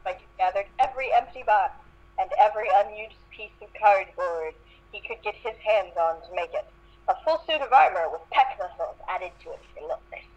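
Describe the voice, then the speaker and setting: A woman's voice: mid-range voice, expressive, normal speed, darkish tone, lo-fi sound. A woman reading fan fiction aloud in an amateur group session.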